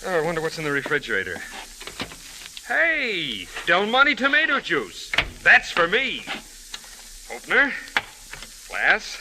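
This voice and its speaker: A man's voice: sits high, huge pitch swings, a little slow, long pauses, full character voice. Cheerful everyman, very echoey recording. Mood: eager. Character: thirsty, cheerful everyday working man in radio ad. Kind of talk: 1940s radio commercial acting. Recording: very noisy, reverberant old radio recording.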